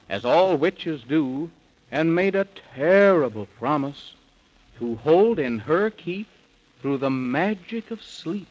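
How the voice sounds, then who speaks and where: Animated radio storyteller, a man. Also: on the high side. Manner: theatrical, sing-song rhyming delivery. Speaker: narrator and storyteller. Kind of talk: 1930s radio bedtime storytelling in rhyme. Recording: muffled 1930s radio recording.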